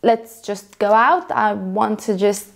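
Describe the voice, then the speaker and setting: A young woman from Romania talks casually to the camera about her work as a photographer. She rambles, restarts her sentences and fills pauses with ums, in a voice of ordinary pitch. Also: creaky. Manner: rambling, self-correcting, many fillers. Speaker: photographer vlogger.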